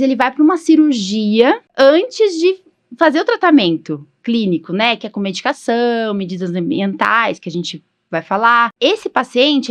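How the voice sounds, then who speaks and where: Brazilian woman explaining things conversationally. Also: on the high side. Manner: informal, explanatory, often seeks agreement with tag questions. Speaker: podcast host, allergist doctor.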